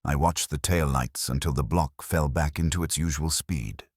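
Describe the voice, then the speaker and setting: Male narrator for detective fiction: extremely low pitch, husky edge, dry close sound. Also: normal speed, no echo. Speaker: audiobook narrator. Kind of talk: scripted first-person detective story narration.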